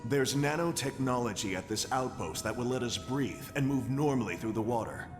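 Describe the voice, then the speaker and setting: Male actor as resolute game team leader, medium pitch, firm, earnest, long reverb, loud music. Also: animated, moderate pace, close to an everyday voice. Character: earnest, upright male superhero team captain. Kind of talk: video game hero acting.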